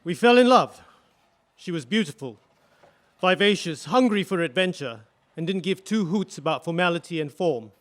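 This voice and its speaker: Man acting a widowed witness, high-pitched male voice, solemn, deliberate, precise, stage courtroom testimony. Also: expressive. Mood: fond, earnest. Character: grave, earnest male electrical engineer, widowed war witness.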